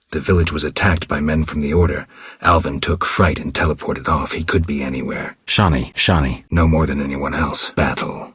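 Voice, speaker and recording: A world-weary swordsman who hunts monsters in a fantasy video game. His voice is extremely deep and heavily rasped, recorded close and dry. He speaks in short, understated, deadpan phrases, gruff and stoic. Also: dry recording, heavily acted. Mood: tender, regretful. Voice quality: very deep, gravelly, husky rasp. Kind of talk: video game dialogue acting.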